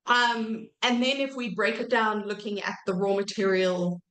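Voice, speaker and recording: A woman in her thirties giving an academic lecture while croaky from a cold, pausing often with ums and correcting herself. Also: medium pitch, level tone, a bit bright, reverberant, poor audio. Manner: hesitant, many ums and self-corrections. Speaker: archaeology researcher giving a talk.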